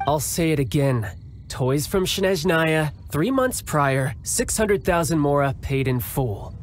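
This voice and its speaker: An actor playing a suave, smug young fighter in a video game cutscene, talking in a smooth, mocking, politely condescending way. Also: male voice, on the high side, animated, takes it slowly, a little hiss or hum.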